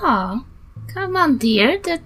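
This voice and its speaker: A woman's voice: high-pitched, sing-song, takes it slowly, fairly bright tone, faint music behind, pitched above the performer's own voice, heavily acted. A woman voicing a kindly, unbothered old granny druid who fusses soothingly in a tabletop game. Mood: cosy, unbothered.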